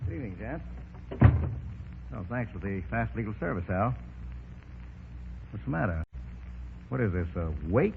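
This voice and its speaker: An actor playing a cocky, shrewd racketeer in a 1930s radio drama, sardonic and full of clipped slang. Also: male voice, lowish voice, big pitch swings, moderate pace, creaky, long pauses, very noisy. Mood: wry, sardonic. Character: cocky, shrewd tough racketeer, wry and commanding. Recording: dull old 1930s radio broadcast.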